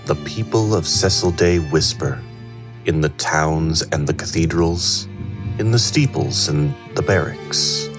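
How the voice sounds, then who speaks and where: A man playing a solemn storyteller, narrating a fantasy drama in a measured, portentous manner over music. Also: very deep voice. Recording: prominent music under the voice.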